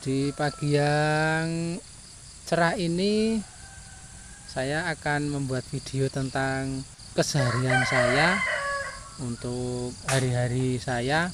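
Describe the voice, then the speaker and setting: A man's voice: mid-range voice, takes it slowly, long pauses. A man from rural Java with a Javanese accent, narrating his daily chores in a casual vlog, often repeating himself and tagging phrases with a questioning filler. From rural Java, Indonesia. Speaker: village vlogger, farm labourer. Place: outdoors by a goat pen in a village. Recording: noisy outdoor village ambience.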